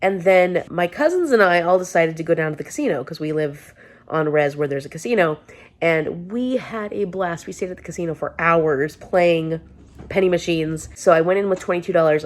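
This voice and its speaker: A chatty, upbeat woman with a fairly low voice, talking casually to her camera in a rambling way. She sounds a little congested, with a sore throat. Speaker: book vlogger.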